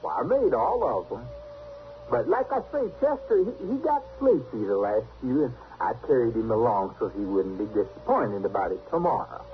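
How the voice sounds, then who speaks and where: A man playing a simple, earnest, stubborn backwoodsman with a rural American accent and halting, folksy speech in an old-time radio western. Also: medium pitch, very animated, close to an everyday voice. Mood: sheepish, earnest. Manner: halting, plain-spoken, folksy. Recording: tinny, hissy old radio recording.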